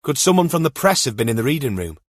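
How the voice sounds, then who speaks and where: A calm female police detective as read by a male audiobook narrator, measured and matter-of-fact. Her manner is dry-witted, and the recording is close and dry. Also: medium pitch, no echo, pitched above the performer's own voice. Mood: questioning, matter-of-fact.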